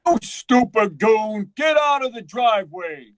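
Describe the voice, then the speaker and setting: A man's voice: high voice, expressive, a little slow, noisy background. A man playing a cocky, blunt chauffeur sidekick in 1940s radio style, brash and slangy. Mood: angry.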